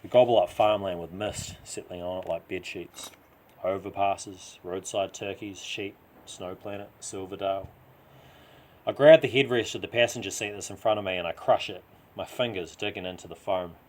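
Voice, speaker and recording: A man's voice: deep voice, moderate pace. A man with a New Zealand accent reads a first-person crime story with a gritty, emphatic, dramatic delivery. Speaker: author reading own short story.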